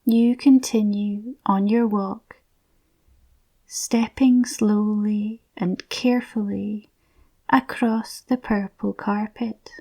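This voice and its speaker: A woman softly narrating a scripted guided visualisation in a soothing, breathy, near-whispered voice. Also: mid-range voice, steady tone, slow, rough recording.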